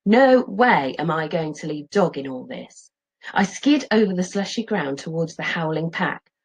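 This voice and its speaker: Animated, slightly husky woman reading aloud. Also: low voice, normal speed, poor audio. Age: middle-aged. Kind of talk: expressive children's story narration and lesson.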